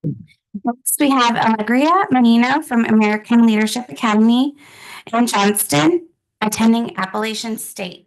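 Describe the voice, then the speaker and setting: A middle-aged woman with a slightly high voice introduces students at a public board meeting. She speaks in an encouraging way and pauses on fillers as she goes. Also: low-quality recording.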